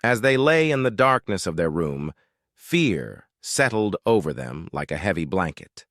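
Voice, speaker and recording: A low-voiced male narrator tells a suspenseful mystery with lively, expressive pitch. His delivery is dramatic and scripted, recorded close and dry on a studio mic. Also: a little slow, no echo.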